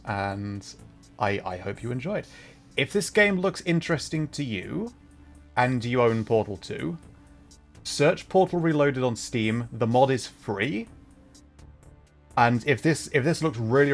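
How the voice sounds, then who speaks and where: A man hosting a game livestream in a casual, chatty and jokey style, lightly self-deprecating. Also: medium pitch. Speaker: livestreamer, host. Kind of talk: casual game stream chat.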